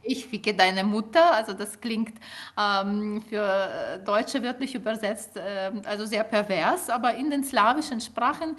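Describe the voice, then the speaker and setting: A woman's voice: mid-range voice, fairly bright tone. Ukrainian-native woman explaining with fillers. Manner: measured, explanatory, many fillers. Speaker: linguist guest. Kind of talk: interview answers.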